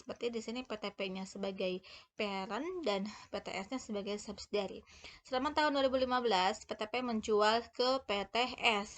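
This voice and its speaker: A woman giving an explanatory lecture, talking informally and haltingly as she corrects herself and works through examples. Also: medium pitch, a bit bright. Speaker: accounting lecturer.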